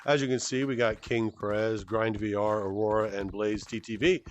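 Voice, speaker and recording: A man's voice: fairly low pitch, fairly even intonation, normal speed. A man in his forties answering fan questions in a casual, easygoing way as he plays a VR game, rambling a bit and often restarting his sentences. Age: 40s. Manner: rambling and self-correcting, with many fillers and restarts; easygoing and good-humored. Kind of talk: casual Q&A chat while playing a VR game.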